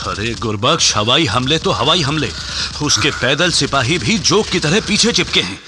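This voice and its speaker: An actor playing a hunted, defiant soldier in a radio play, speaking in an animated, dramatic way. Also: male voice, mid-range voice, normal speed, noisy background, natural voice. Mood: tense, defiant. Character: hunted freedom-army soldier, defiant, scornful of surrender. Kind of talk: Hindi radio play acting.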